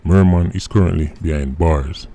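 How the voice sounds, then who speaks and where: A man's voice: sits low, moderate pace, mellow. A Jamaican man giving explanatory commentary as a vlog host, emphatic and often checking in with "right". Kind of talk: explanatory commentary in Patois-mixed English. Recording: music under the voice.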